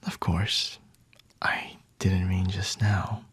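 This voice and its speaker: A man playing a flirty, aloof, high-ranking vampire in an ASMR roleplay, speaking in a breathy, hushed whisper close to the mic with haughty, seductive pauses. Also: completely flat delivery, no echo, full character voice. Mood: intimate, flirty. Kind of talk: ASMR roleplay, scripted monologue to the listener. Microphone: close-up microphone.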